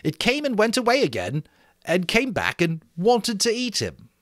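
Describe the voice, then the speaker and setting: Earnest storybook schoolboy, acted by a man: urgent, insistent, pitched well up, swooping intonation. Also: wildly swooping pitch, normal speed, fairly bright tone, no echo, studio quality, full character voice. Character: Piggy, earnest, insistent schoolboy speaking up for others. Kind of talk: dramatic audiobook character voice.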